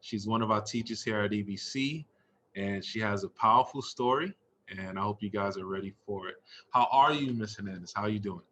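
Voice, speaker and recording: A man's voice: lowish voice, a little fast, fairly bright tone, a little hiss or hum. A man hosting an interview series, speaking in an encouraging, empathetic way to his guest and listeners. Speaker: interviewer and host of a school staff story series.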